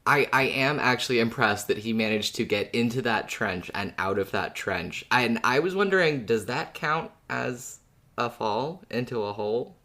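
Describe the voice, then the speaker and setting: A man's voice: mid-range voice, expressive, normal speed, pushed and bright. A man hosting a fan podcast, chatting playfully in jokey, mock-dramatic bro slang. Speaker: podcast host.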